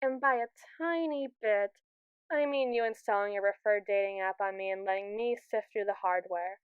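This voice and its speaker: A woman's voice: medium pitch, normal speed, clear, resonant tone, no echo, phone-quality sound, natural voice. A woman playing a haughty, impatient evil robot who scorns humans in an ASMR audio roleplay, condescending, sarcastic and theatrical. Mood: smug, reasoning.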